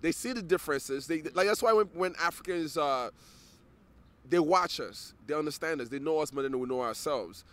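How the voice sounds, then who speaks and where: A man's voice: a little high, lively intonation, moderate pace, a bit bright. A man from Nigeria, an interview guest, talking earnestly and restarting words as he searches for them. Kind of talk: casual interview conversation.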